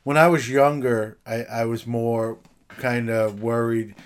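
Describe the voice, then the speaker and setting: A man's voice: medium pitch, fairly even intonation, a little slow. A man talking casually and reflectively, in the conversational style of a sports talk show.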